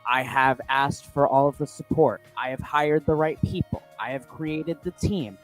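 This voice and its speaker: A man speaking as a spiritual coach in an effusive, emphatic motivational style, with dramatic pauses and repetition. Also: mid-range voice, soft background music, rough recording. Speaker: spiritual manifestation coach and host. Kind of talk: spiritual motivational talk to listeners.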